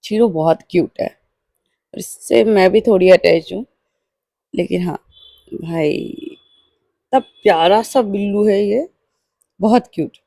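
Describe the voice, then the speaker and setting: Mellow, creaky-voiced woman narrating. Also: mid-range voice, slow pace, long pauses, dry recording, studio quality. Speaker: daily vlogger. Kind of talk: personal vlog voice-over narration.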